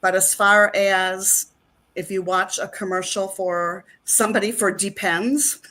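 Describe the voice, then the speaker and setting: A woman's voice: mid-range voice, fairly even intonation, unhurried, pushed and bright. A middle-aged woman chatting as an interview guest, rambling with plenty of fillers. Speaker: actress and guest. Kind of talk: casual interview chat.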